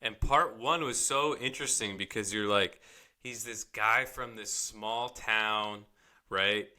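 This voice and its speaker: Easygoing young guy on a podcast, low-ish voice but really bright and smooth. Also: slow. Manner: easygoing, agreeable, laughs along. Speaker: podcast co-host. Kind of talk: casual chat.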